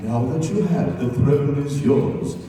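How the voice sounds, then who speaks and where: A man's voice: medium pitch, mellow, natural voice. A man playing a solemn, deferential, formal royal courtier in a live comic fairy-tale reading. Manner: slow, formal, grave. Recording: distant echoey hall with faint noise.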